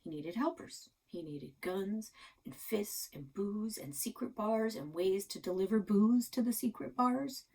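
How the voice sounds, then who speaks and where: A woman reading a children's storybook, voicing a gruff, grumpy old grandfather with a folksy, plainspoken manner. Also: mid-range voice, measured pace, warm tone. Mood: storytelling, folksy. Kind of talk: children's storybook read-aloud.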